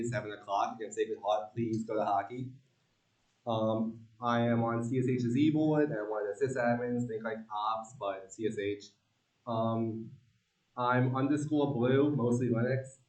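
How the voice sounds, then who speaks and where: A young man presents his student team's work in a loose, joking way, filling pauses with um. His voice sits at an ordinary pitch. It reaches the mic from across an echoey room. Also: recorded from a distance. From Long Island, New York. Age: young adult. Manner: relaxed, joking, many ums. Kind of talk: casual team presentation.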